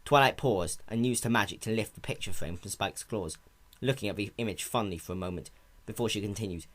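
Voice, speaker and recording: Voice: deep voice, brisk. A narrator reading a story aloud as a dramatic reading, in scripted third-person prose.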